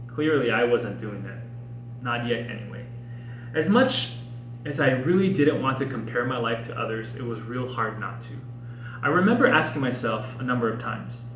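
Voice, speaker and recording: A man in his late thirties reading his own personal writing aloud, earnestly and emphatically, with dramatic pauses. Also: medium pitch, a bit bright. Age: late 30s. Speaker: author and podcast host reading own book. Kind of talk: expressive audiobook-style personal narration. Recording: roomy echo, faint background noise.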